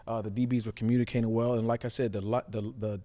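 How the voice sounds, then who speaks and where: A man's voice: medium pitch, flat delivery, fast talker, warm tone. A man talking casual sports analysis as a former player, making emphatic points and repeating phrases for stress. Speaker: former safety, show analyst.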